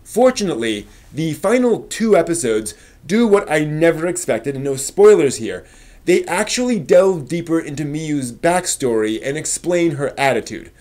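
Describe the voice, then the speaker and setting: A man with a slightly high voice presents a scripted review as the host of a live stream. His phrasing is measured and emphatic, stressing key words, and he slips into casual, conversational asides. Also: very animated, darkish tone.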